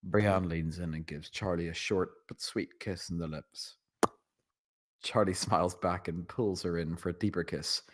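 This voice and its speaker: A man's voice: low voice, steady tone, normal speed. A man narrating scene directions from a screenplay at a table read. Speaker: narrator reading stage directions.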